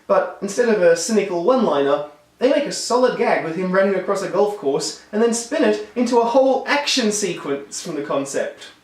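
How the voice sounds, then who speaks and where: A man with a high voice that has gone hoarse delivers a wry, upbeat film review. He sounds set back from the microphone, and the room adds a noticeable echo. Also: animated, fairly quick. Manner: wry, enthusiastic critique. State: hoarse, losing his voice. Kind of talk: scripted video review. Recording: roomy echo, distant mic.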